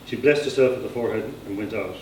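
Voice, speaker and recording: A man's voice: fairly low pitch, quick pace. A man with an Irish accent reading his own literary fiction aloud as a scripted narration. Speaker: author reading own novel extract. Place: indoor reading at a society gathering. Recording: echoey room, distant mic, faint noise.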